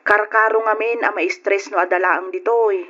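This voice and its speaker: Woman acting as a supportive younger sister, medium pitch, Ilocano accent, radio drama, muffled recording. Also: fairly even intonation, moderate pace, a bit bright, natural voice. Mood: sympathetic.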